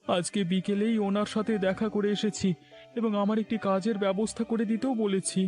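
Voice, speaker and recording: A man's voice: high-pitched, level tone, fairly quick, darkish tone, faint music behind, lightly acted. A man voicing an earnest, scheming jobless village husband in a cartoon, explaining in a reassuring way. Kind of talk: cartoon voice acting.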